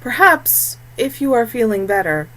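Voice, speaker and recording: A woman's voice: fairly high pitch, big pitch swings, normal speed, a bit bright, rough recording. A woman voicing a tall, amused shapeshifting man in a fantasy audiobook, polite and wry. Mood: amused, courteous. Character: tall, lean, amused shapeshifting male moon beast. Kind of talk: audiobook character acting.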